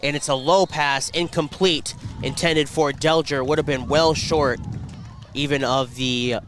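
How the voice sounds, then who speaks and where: A man calling high school football play-by-play for radio, congested from a cold and picking up energy during plays. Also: measured pace. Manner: rising excitement on plays. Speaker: play-by-play announcer. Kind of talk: radio sports commentary.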